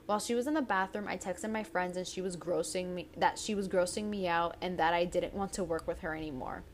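A young woman hosting a casual advice podcast, blunt and chatty, with a tired, raspy voice as she reads listener stories aloud. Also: on the low side, fairly quick. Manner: blunt, chatty, swears freely. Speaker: podcast host.

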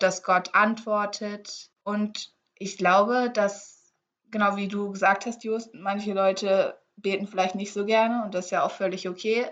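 A woman co-hosting a faith podcast in casual chat, relaxed and chatty as she explains a guessing game; her first language is English. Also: mid-range voice, muffled recording. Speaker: podcast co-host.

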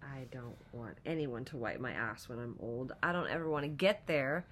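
Woman, 30s, light Midwestern accent, low pitch, blunt, profane, laid-back podcast chat. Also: normal speed, fairly bright tone, a little hiss or hum. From Wisconsin. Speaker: podcast co-host. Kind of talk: casual chat.

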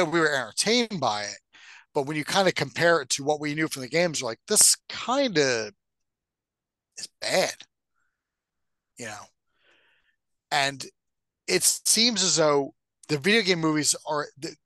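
A middle-aged man co-hosting a podcast, talking casually and haltingly, with some swearing. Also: a little high, sing-song, fairly bright tone, no echo. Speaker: podcast co-host. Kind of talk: casual discussion.

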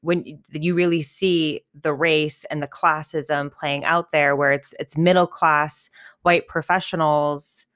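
Low, smooth-voiced woman talking earnestly. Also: steady tone, measured pace, fairly bright tone, no echo, studio quality. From New York City. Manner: earnest, many fillers and restarts. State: losing her voice, coughing. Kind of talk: casual conversation.

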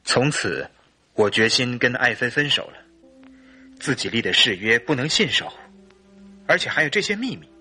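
An actor playing a distraught, nervous husband in a radio drama, speaking earnestly. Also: male voice, medium pitch, very animated, normal speed, long pauses, sharp tone, faint music behind. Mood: dejected, agitated.

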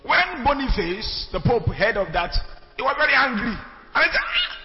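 A man teaching church history in an animated lecture, calling for responses from the class. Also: very high-pitched, normal speed. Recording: echoey hall, dull sound, faint music.

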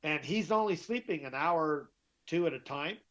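A middle-aged man with a deeper voice, telling his story as an interview guest, emphatic and often repeating himself. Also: animated, moderate pace, a bit bright. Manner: emphatic, repeats and restarts words. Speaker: guest, author. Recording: dull lo-fi sound.

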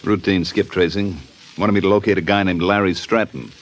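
A man acting a streetwise small-time private investigator in an old-time radio drama, gruff, with slangy tough-guy talk. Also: sits low, steady tone, a little fast, natural voice. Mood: affable. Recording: noisy vintage radio recording.

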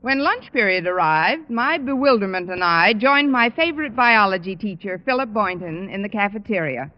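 A woman's voice: mid-range voice, animated, normal speed, lightly acted. An American woman acting as a wry, wisecracking schoolteacher in an old radio comedy, with dry, teasing, articulate delivery. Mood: bemused narration. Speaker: lead actress and narrator of a radio comedy. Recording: muffled old radio recording, studio audience, noise.

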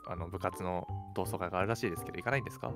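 A man's voice: sits low, rapid-fire, over music. A young man co-hosting a radio show with casual, teasing banter. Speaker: radio co-host.

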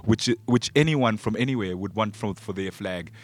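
A man from Zambia hosting a casual radio chat, halting and often repeating words. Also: on the low side. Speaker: radio show host. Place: radio studio.

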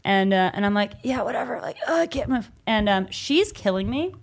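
A woman's voice: mid-range voice, lively intonation, fairly quick, vocal fry. A young adult woman chatting casually as a podcast co-host, swearing freely, acting out voices and laughing often as she tells a story. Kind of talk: casual chat.

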